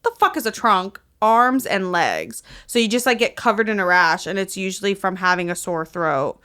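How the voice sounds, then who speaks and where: A woman's voice: medium pitch, expressive, normal speed, pushed and bright, clear, resonant tone. A young woman hosting a podcast in casual comedic chat, slangy, sweary and hyperbolic. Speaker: podcast host.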